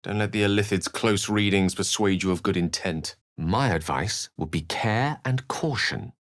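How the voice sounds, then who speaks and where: An actor playing a courteous, erudite wizard adventurer in a video game, with eloquent, measured, theatrical phrasing and a wry, earnest temper. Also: male voice, lowish voice, a bit bright, dry recording, clean studio sound, close to an everyday voice. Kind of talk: video game character lines and narration.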